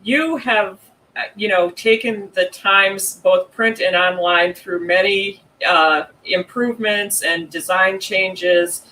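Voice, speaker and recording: A man hosting an online interview over a video call, asking long questions in a measured way with uh fillers. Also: high voice, steady tone, pushed and bright, slight background noise, low-quality recording. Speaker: host.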